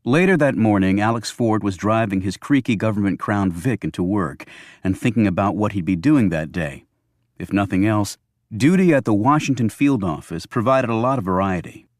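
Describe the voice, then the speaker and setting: A man's voice: lowish voice, moderate pace, no room sound. Male audiobook narrator, dry close recording. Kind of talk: scripted narration of a thriller audiobook.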